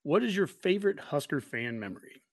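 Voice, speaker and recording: A man's voice: a little high, sing-song, fairly quick, dry recording, clean studio sound. A man hosting a casual, chatty interview. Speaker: interview host.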